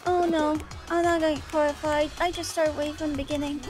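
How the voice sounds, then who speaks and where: A woman banters through a group game, teasing her friends and swearing when she slips. Her voice sits high. Cheerful game music plays behind her. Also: little pitch movement, brisk. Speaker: guest player. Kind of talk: casual let's-play chat.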